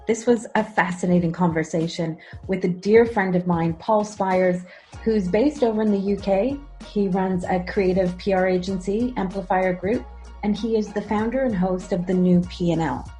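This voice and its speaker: A woman's voice: medium pitch, moderate pace, warm tone, soft background music. A woman hosting a podcast interview, talking in an enthusiastic, reflective way. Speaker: podcast host. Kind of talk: conversational interview.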